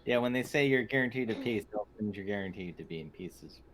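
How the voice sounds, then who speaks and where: A man's voice: lowish voice, expressive, brisk, fairly bright tone. A man chatting casually as a player in an online tabletop roleplaying game. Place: online voice call. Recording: noisy call with keyboard typing.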